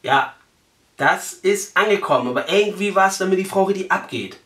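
A young man with a fairly high voice in an echoey room. He talks casually and colloquially, brash and crude, as if making an earnest phone enquiry. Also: lively intonation, normal speed, a bit bright. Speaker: prank call host. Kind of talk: casual prank phone call. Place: small living room, indoors.

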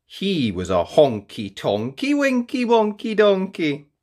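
Very animated children's storyteller. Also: medium pitch. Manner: playful, theatrical, drawn-out emphasis on key words. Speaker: storyteller, host of a read-aloud show. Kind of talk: expressive read-aloud of a children's picture book.

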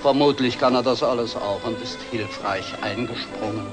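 An actor playing a sarcastic, impatient gentleman guest in a 1960s TV drama. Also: medium pitch, normal speed, over music, lightly acted. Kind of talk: 1960s German TV drama acting. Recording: old TV film sound.

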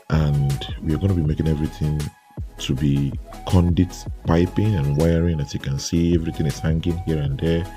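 A man with a Nigerian English accent, narrating a walkthrough of a renovation site in a relaxed way with many fillers. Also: extremely low pitch. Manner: relaxed, filler-heavy, pointing things out. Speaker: renovation contractor.

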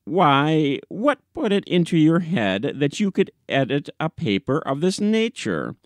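A man voicing an exasperated, slow-spoken newspaper editor. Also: on the high side, big pitch swings, dry recording. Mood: scornful. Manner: lofty, deliberate, emphatic. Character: indignant, exasperated male newspaper chief editor, scolding. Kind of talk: dramatic audiobook reading of classic comic short story.

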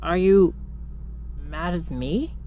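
A woman's voice: on the low side, takes it slowly, phone-quality sound, a little hiss or hum. A woman voicing a timid young skeleton boy, anxious and hesitant, in a dramatic comic dub. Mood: frightened, pleading.

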